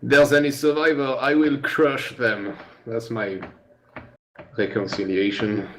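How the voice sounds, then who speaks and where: A man's voice: medium pitch, flat delivery, measured pace, slight background noise. A man playing in a tabletop game over voice chat, brash and joking, swearing casually. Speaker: player.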